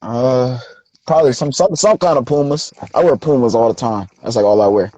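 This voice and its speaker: A young man, a rapper being interviewed, telling stories in a relaxed, slangy way. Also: mid-range voice, a little fast, dry recording. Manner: laid-back, slangy storytelling. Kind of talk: casual interview chat.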